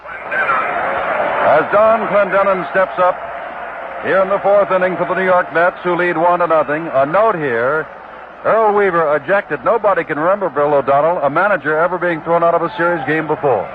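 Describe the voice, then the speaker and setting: Man calling baseball on old AM radio. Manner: brisk, detailed, rises in pitch on exciting plays. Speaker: baseball play-by-play announcer. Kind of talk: radio play-by-play commentary. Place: ballpark broadcast booth. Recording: tinny 1960s AM radio broadcast with hiss.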